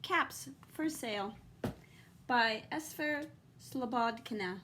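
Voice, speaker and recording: Female storytime teacher: high-pitched, slow and deliberate, expressive read-aloud aimed at young children. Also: a little hiss or hum. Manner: expressive, deliberate, puts questions to young listeners. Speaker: teacher reading a picture book aloud.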